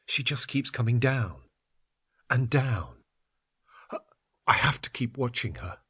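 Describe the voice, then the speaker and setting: A mesmerized, haunted man, played by a male narrator in an audio story. He speaks low and husky, hushed and fixated on what he watches. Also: big pitch swings, takes it slowly, no echo, heavily acted. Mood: hushed fixation.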